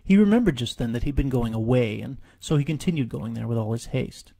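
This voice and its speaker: A man narrates a storybook in a measured, expressive way. The voice is very dark and creaky, with a breathy husk to it, like an audiobook read by its author. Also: medium pitch. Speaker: author reading own story.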